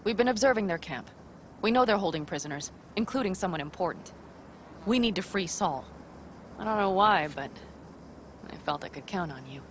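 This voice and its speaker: An actress plays a blunt, assertive nomad woman from a video game. Her voice sits fairly low and she speaks matter-of-factly, like someone used to giving plans. Clear engine and ambient noise runs behind her. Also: expressive, normal speed, pauses often, natural voice. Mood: earnest, wry. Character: blunt, determined nomad woman planning a rescue.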